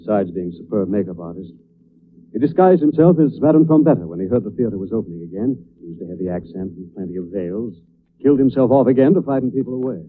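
A lowish-voiced, assured male detective, played by a radio actor, explaining with lively, dramatic swings. The 1940s recording is tinny, with clear background noise. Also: quick pace, natural voice. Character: confident male detective explaining a solved case.

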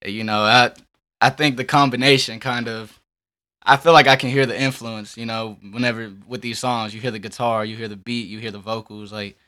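A young man speaks at an average male pitch as a musician being interviewed. His talk is casual and slangy, loosely strung together with halting turns. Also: steady tone, pushed and bright. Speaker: musician guest. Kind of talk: casual chat.

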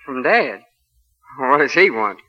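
Bright-voiced, hesitant college athlete. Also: male voice, mid-range voice, big pitch swings, slow, exaggerated voice. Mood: shy, respectful. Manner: hesitant, deferential. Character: modest, eager young male college football player. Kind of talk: 1940s radio acting. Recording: dull vintage 1940s radio recording.

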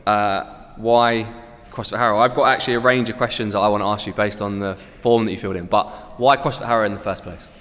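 A man's voice: fairly low pitch, fairly even intonation, fairly bright tone, big-room sound. A man hosting a gym podcast, asking casual questions and repeating words as he goes. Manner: quick, chatty, repeats words. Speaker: podcast host, gym coach.

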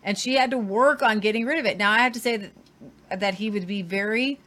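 A woman co-hosting a casual news chat, talking in lively bursts and repeating herself for emphasis. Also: mid-range voice, a little fast. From Southern Ohio. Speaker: co-host.

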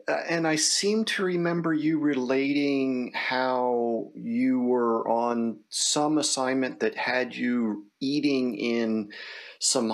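A man interviewing in a conversational way, phrasing his questions deliberately and haltingly. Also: mid-range voice.

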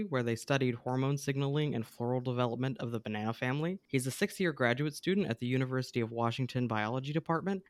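A man's voice: mid-range voice, dry recording. A man hosting a science podcast, reading a short guest introduction and then asking questions in casual chat with self-deprecating jokes. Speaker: podcast host, entomologist.

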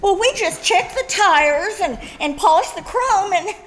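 A woman's voice: very high voice, animated, unhurried. A woman playing a defensive, huffy teenage daughter in an old-time radio family comedy. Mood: defensive, sheepish. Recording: live performance with background noise.

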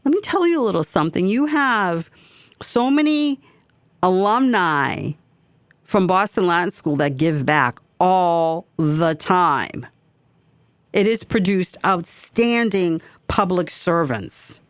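A woman's voice: fairly low pitch, animated, slow, dry recording. A woman hosting a community radio talk show, speaking with passion and repeating phrases for emphasis. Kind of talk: opinionated talk radio monologue.

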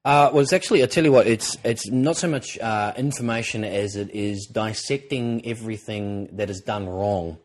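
A man co-hosting a podcast with a croaky voice, talking in an earnest, encouraging way and rambling with restarts. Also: lowish voice, moderate pace, few pauses. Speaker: podcast co-host, presenter coach. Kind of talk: casual podcast talk.

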